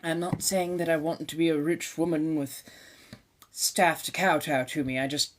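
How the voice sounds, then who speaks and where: A woman's voice: low voice, fairly even intonation, normal speed. Theatrical woman with colonial transatlantic lilt. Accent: put-on 1700s colonial transatlantic. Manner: theatrical, ornate wording, calls viewers darling. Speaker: live streamer in a vampire persona. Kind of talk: chatty live stream to viewers.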